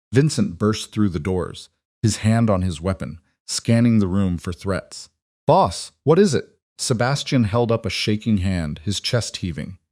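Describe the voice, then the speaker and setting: A male storyteller delivers scripted dramatic narration. His voice sits on the low side. The recording is dry, with hardly any room sound. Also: a little mellow, dry recording.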